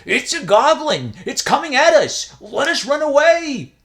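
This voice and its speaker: A reader voicing frightened young male novice monks, shouting in shrill panic. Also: big pitch swings, extremely slow, exaggerated voice. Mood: panicked.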